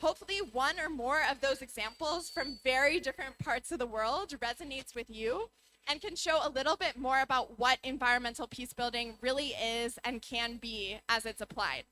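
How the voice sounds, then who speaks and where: Bright high-voiced young American woman. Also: moderate pace, low-quality recording. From United States. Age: young adult. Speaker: youth climate speaker. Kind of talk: prepared conference talk. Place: conference pavilion.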